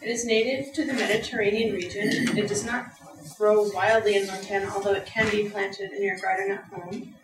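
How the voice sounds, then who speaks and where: A woman's voice: medium pitch, steady tone, brisk, over a noisy crowd. Young woman reading her herb talk off notes, echoey classroom, mic way back. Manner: earnest, reads from notes with fillers. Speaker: student presenter. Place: lecture room with audience. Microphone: far camera. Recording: echoey room from far off.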